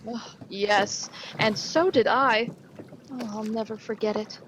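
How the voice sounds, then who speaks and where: A woman's voice: on the high side, fairly even intonation, slow pace. An actress playing a genteel, inquisitive lady companion in an old-time radio play, polite and earnest. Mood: earnest recounting. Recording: live stream with faint noise.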